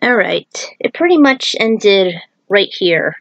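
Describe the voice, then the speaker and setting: Female storyteller, medium pitch, informal author-narrator feel, dry recording. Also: big pitch swings, slow pace, no echo, dull audio. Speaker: fan fiction author and reader. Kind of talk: storytelling narration with casual asides.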